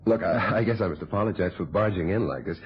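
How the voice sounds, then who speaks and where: A courteous, awkward male guest in a radio drama. He has a low voice and halting, apologetic phrasing, on a dull, lo-fi recording. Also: husky. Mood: awkward, polite.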